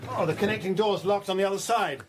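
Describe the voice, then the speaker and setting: Male actor, English country gentleman, high pitch, radio drama, affable, clear background noise. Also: fast, lightly acted. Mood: anxious urgency.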